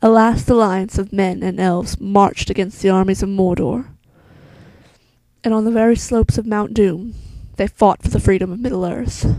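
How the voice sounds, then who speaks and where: A woman playing a solemn, wise elf queen who narrates a fantasy monologue in a hushed, deliberate way, with a soft voice that slips toward a whisper. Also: mid-range voice, fairly even intonation, dry recording, lightly acted, poor audio. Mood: solemn, foreboding. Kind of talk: slow dramatic fantasy monologue.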